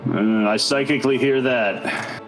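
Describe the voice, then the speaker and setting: A man's voice: medium pitch, a little slow, music in the background, rough recording. A man chatting and bantering casually as a player in a tabletop game.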